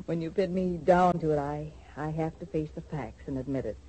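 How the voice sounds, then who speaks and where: An actress playing a gentle, worried middle-aged mother in a 1940s radio soap, speaking hesitantly and tenderly. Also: low-pitched, moderate pace, a little hiss or hum, natural voice, poor audio. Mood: anxious tenderness.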